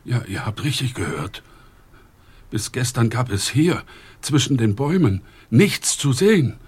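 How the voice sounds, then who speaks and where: An actor playing a haunted, secretive man in a forest in a radio drama, speaking in a hushed, ominous way with a husky voice. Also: medium pitch, sing-song, unhurried, full character voice.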